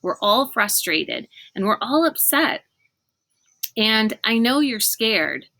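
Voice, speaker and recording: A woman voicing a thoughtful young boy leader in a children's storybook, reasoning with a strained calm. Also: fairly high pitch, slow pace, creaky, no room sound, heavily acted. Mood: placating. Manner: reasoning, placating. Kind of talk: children's storybook read-aloud.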